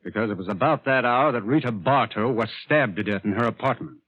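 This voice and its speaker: An actor playing a blunt, accusing police detective in a 1940s radio drama. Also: male voice, medium pitch, expressive, brisk, breathy edge, dry recording. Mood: stern, accusing. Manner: blunt, matter-of-fact. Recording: dry, tinny 1940s radio recording.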